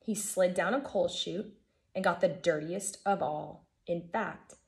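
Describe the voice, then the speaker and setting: An American woman in her thirties, a first grade teacher reading a children's storybook aloud in an expressive, emphatic way. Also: lowish voice, measured pace, gravelly. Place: living room at home, indoors. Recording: echoey room.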